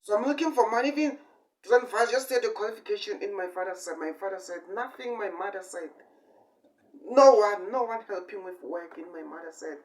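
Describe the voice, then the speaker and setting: A man in his twenties giving a rambling improvised monologue in halting, repetitive short phrases with sudden emphatic bursts. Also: high-pitched. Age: 20s. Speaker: amateur online speaker. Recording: distant microphone.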